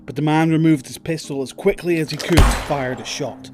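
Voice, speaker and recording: A man's voice: on the high side, a little fast, heavy background noise. A man narrating a scripted audio drama in storytelling prose.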